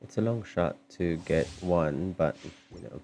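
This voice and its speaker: A man with a deep, dark voice comments casually on his game stream. He talks slowly and hesitantly in a low-key way, as if half to himself, over soft game music. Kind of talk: casual livestream gameplay commentary.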